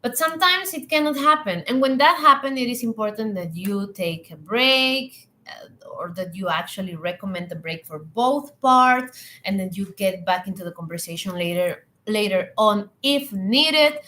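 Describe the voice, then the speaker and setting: A woman whose first language is Spanish, giving earnest leadership advice in English with pauses and restarts. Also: medium pitch, animated, sharp tone. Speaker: hospitality leadership coach. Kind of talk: talking to camera.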